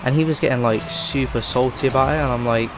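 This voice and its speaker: A man hosting a gaming channel, chatting casually over his gameplay and rambling with lots of fillers, his voice rough from a cold. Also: mid-range voice, fairly even intonation, quick pace, music in the background.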